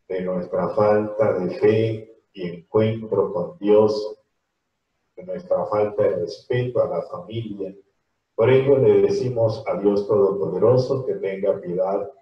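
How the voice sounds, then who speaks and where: Priest, solemn liturgical delivery, dull lo-fi echoey recording. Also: male voice, on the low side. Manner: slow, solemn liturgical recitation. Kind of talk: leading mass liturgy. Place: small chapel with altar and crucifix. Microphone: handheld microphone. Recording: echoey, distant, dull sound.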